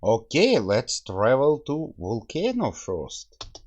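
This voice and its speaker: A man hosts a casual game playthrough with open enthusiasm and plenty of exclamations. His pitch leaps all over the place while he takes his time with the words. The voice has a slightly husky grain. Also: wildly swooping pitch, slow, a little mellow, muffled recording. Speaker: host reviewing a game. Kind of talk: casual gameplay commentary.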